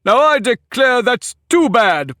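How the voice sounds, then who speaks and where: A man's voice: high-pitched, sing-song, unhurried, fairly bright tone, dry recording, clean studio sound, voice pushed up, heavily acted. A man voicing a pompous, touchy talking egg in a storybook reading, boastful and argumentative. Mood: indignant. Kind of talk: audiobook character reading.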